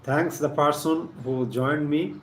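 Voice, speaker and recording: A man with a Bangladeshi accent giving a live chemistry lesson, partly reading aloud in a halting, deliberate manner, repeating phrases. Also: on the high side, steady tone. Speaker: science teacher hosting a live stream. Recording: echoey room with faint background noise.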